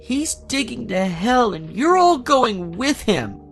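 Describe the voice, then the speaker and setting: A man's voice: extremely high pitch, big pitch swings, takes it slowly, creaky, a bit bright, soft background music, pitched above the performer's own voice, full character voice. A man voicing a frightened, weeping young boy who makes ominous accusations in a horror story. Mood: fearful, accusing. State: crying.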